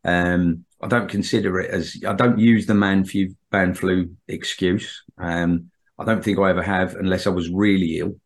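A man's voice: deep voice, fairly even intonation, moderate pace. A man with a lingering cold, sounding throaty, speaking in a matter-of-fact, slightly hesitant way in a group chat. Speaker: podcast co-host. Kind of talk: casual group discussion.

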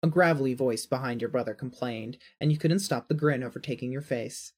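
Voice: mid-range voice, a little fast, a little mellow. A narrator reading a scripted second-person story for an audio drama.

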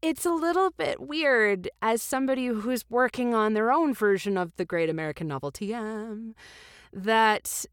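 A woman playing a supportive, bookish podcast co-host who chats casually and haltingly in an improvised comedy. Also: fairly high pitch, smooth, no echo, clean studio sound, natural voice. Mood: earnest musing. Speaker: book review podcast co-host.

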